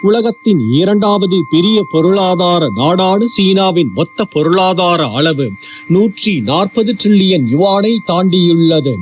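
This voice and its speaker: A man reading scripted news in a formal, measured manner over a shortwave radio broadcast. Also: sits high, faint music behind. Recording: dull, hissy shortwave radio with hum.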